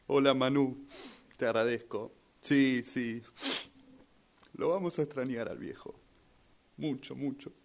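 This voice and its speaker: Un hombre con acento argentino que interpreta en un radioteatro a un hijo en duelo, dubitativo y lleno de sospechas. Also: male voice, medium pitch, steady tone, slow, vocal fry, pauses often. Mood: grieving, grateful. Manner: hesitant, repeats phrases. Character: grieving son troubled by suspicions over his father's death. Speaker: radio drama workshop participant. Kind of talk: rehearsed radio drama acting.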